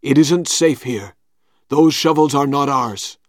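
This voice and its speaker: Man doing a storybook villain: slow, cold, menacing. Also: medium pitch, no room sound, studio quality, natural voice. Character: menacing male villain Injun Joe, vengeful.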